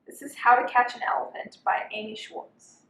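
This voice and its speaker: A woman's voice: a little high, fairly even intonation, moderate pace, a bit bright, slight background noise. A young woman reading a children's picture book aloud as a volunteer storyteller. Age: young adult. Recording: roomy, echoing sound.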